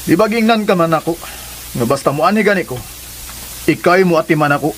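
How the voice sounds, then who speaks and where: An actor playing a persistent, generous young suitor with a Cebuano accent in a radio drama, talking in a casual, coaxing way. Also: male voice, a little high, fairly quick, close to an everyday voice. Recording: hissy recording, faint crackle.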